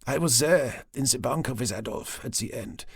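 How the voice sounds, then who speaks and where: A man's voice: medium pitch, normal speed, vocal fry, husky, no echo, studio quality. A man voicing a cruel, fanatical old German woman, speaking with theatrical mock tenderness. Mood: mournful.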